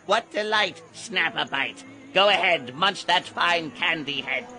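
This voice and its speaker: An actor playing a sly, gleefully ghoulish street peddler in a theatrical radio drama, chanting in a drawn-out sing-song. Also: male voice, a little high, lively intonation, heavily acted. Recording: loud music behind the voice. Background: music.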